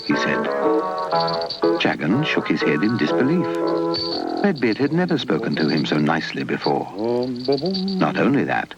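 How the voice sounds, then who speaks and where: A British man narrating a scripted children's puppet story in an animated, dramatic way. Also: rumbling low, normal speed, long pauses. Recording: dull lo-fi 1980s VHS tape. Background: music.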